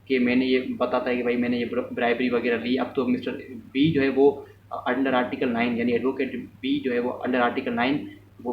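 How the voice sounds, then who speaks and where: Guy teaching law step by step, kinda muffled, bit of background noise, drops in english terms. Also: mid-range voice. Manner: explains step by step, often repeating and rephrasing; mixes English terms and phrases into speech. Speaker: law lecturer. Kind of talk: informal explanatory law lesson with hypothetical examples. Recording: echoey room, distant mic, muffled, faint noise.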